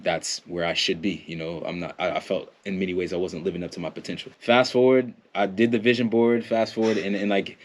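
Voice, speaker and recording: A man's voice: low voice, steady tone, brisk, few pauses. An American man in a casual podcast interview, a performance coach talking in a rambling way, with lots of fillers, restarts and laughs. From United States.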